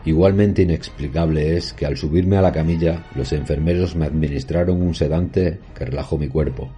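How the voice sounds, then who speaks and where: A man playing a haunted professor, recounting a horror tale in measured, solemn, literary narration. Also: a bass voice, steady tone, dark tone. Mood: sombre recollection. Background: music.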